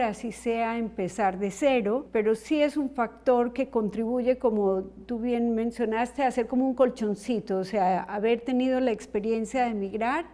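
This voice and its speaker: Calm middle-aged woman host, higher voice. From Colombia. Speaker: podcast host, psychologist. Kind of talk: reflective family conversation.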